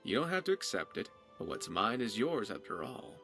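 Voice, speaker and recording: Lively, cocky pirate rogue. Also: male voice, medium pitch, moderate pace, music in the background. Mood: warm, sly. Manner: wry, boastful, theatrical. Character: dashing cocky young male pirate rogue, playful and tender. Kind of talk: voiced game character dialogue.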